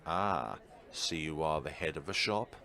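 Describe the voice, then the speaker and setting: A haughty male elf from a fantasy visual novel, acted out by a man reading along. He speaks in a deep voice, sneering and dismissive, as if everyone is beneath him. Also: unhurried, sharp tone, full character voice.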